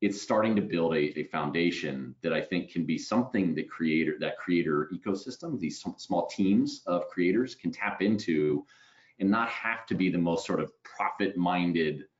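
A man in his forties with a very low voice hosts an interview over a headset from home. He talks easily and checks in with "right?" throughout. The audio sounds slightly dull. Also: lively intonation, moderate pace. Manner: conversational, tags lines with "right?". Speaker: webinar host. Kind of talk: casual video call interview. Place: home room.

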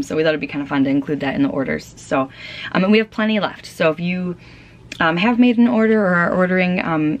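Young woman home vlogger, low voice, rambling ums, a bit run down, close to the camera. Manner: chatty, frequent ums and restarts. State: tired, fighting off a cold. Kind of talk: casual chat. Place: home, small room.

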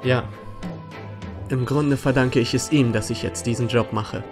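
A man playing a sincere, plain-spoken young defence lawyer in an animated courtroom drama. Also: medium pitch, measured pace, natural voice. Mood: earnest. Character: earnest young male defence lawyer, loyal. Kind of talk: animated courtroom fan dub acting. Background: background music.

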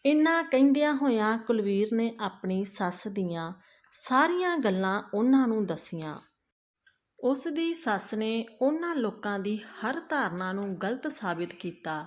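A woman narrating a moral family story for her channel in a measured, earnest read-aloud manner. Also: fairly high pitch, lively intonation.

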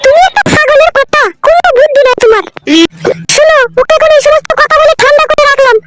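Squeaky, extremely high-pitched sly village wife. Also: female voice, fairly even intonation, heavy background noise. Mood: scheming. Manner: shrill, wheedling, quick to snap back. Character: sly, scolding young village wife with a secret lover. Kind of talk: comedy cartoon dialogue.

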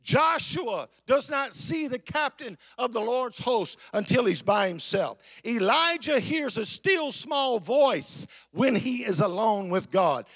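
A man preaching an impassioned sermon to a congregation in an emphatic, declamatory way, repeating phrases for effect, with a gravelly voice. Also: very high-pitched, animated, takes it slowly, few pauses, fairly bright tone, no room sound.

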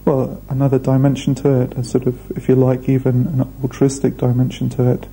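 A man talks through a documentary interview in a measured, hesitant way. His voice is dark and gravelly with little pitch movement. A faint hiss runs under the old video recording. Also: completely flat delivery, rough recording. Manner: measured, thoughtful, hesitant fillers. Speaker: restaurant founder. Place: indoor room.

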